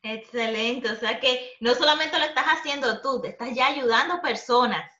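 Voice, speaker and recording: A woman's voice: high voice, normal speed, bright, forceful tone. A young adult woman hosting an upbeat, conversational interview as a coach over a video call from a room at home. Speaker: host, coach. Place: small home room, indoors. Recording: muffled, roomy video call audio.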